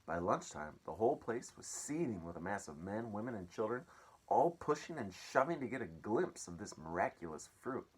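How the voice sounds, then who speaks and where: A man reading a children's story aloud as an expressive storyteller. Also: on the low side, moderate pace, husky.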